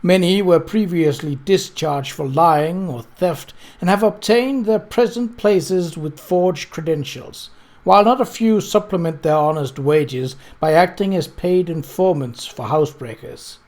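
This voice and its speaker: A man reading a novel aloud as narrator, in a measured, formal storytelling manner. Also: on the high side, darkish tone. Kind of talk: scripted audiobook narration.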